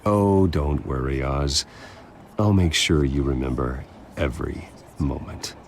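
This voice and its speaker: An actor playing a stern, defiant wealthy executive in a video game, speaking in a measured, grave way. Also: male voice, extremely low pitch, a little hiss or hum.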